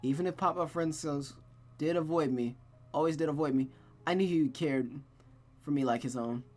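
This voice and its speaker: A woman voicing a gentle female friend in a visual novel, speaking tenderly and frankly in a measured way. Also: deep voice.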